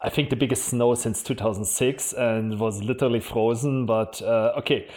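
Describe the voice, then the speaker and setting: A man's voice: medium pitch, fairly even intonation, normal speed, darkish tone. A man with a German accent, a podcast guest who talks frankly and tells stories about his career in a casual interview. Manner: frank, storytelling, laughs often. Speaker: podcast guest, marketing executive.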